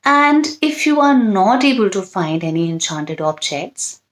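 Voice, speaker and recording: Slow-paced woman reading stories to children. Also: medium pitch. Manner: expressive, addresses young listeners directly. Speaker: children's storyteller, host. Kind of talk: bedtime story reading with chatty asides to children.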